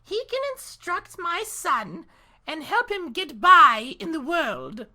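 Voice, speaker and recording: Storybook she-wolf mother, played by a man: very high, earnest, singsong delivery. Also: very animated, takes it slowly, bright, forceful tone, heavily acted. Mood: earnest, approving.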